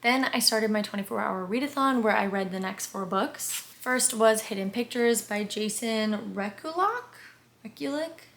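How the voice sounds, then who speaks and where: Hoarse woman chatting about books. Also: fairly high pitch, a bit bright. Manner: chatty and enthusiastic, quick asides. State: hoarse, losing her voice. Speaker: book reviewer. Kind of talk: casual monthly reading wrap-up.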